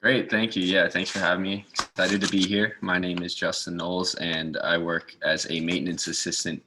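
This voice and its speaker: A man's voice: low-pitched, little pitch movement, normal speed, sharp tone, a little hiss or hum. A man from the northeastern United States speaking as a guest in an informal interview, explaining things. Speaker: guest speaker, garden maintenance assistant.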